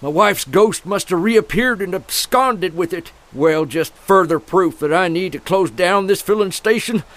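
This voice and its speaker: An actor playing a grumpy old American filling-station owner in a comic audio drama, folksy, gruff and dismissive. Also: male voice, sits high, measured pace, hardly any pauses, a bit bright.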